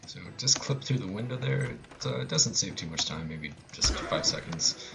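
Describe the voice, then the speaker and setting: Low-voiced male speedrunner, halting, offhand live commentary with dry humour. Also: animated, vocal fry, noisy background. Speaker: speedrunner commentating own run. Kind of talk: casual live gameplay commentary.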